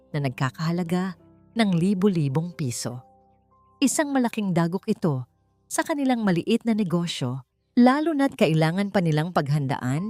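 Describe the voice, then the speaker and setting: A woman narrating a scripted dramatic story in a measured, formal storytelling manner. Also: fairly low pitch, darkish tone. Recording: music behind the voice.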